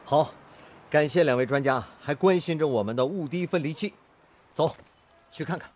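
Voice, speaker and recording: An actor playing a determined, good-natured factory master technician in a radio drama, earnest and full of sighing interjections. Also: male voice, on the high side, moderate pace, a little mellow, slight background noise, natural voice. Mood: courteous, confident.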